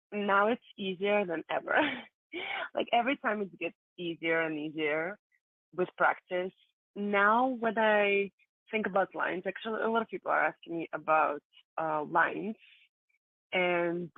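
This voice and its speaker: Latvian-accented actress on a tinny line. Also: female voice, on the low side, no echo. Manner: chatty, laughs easily, hesitant fillers. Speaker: actress and singer guest. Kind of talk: interview answers. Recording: tinny phone-like line, dry.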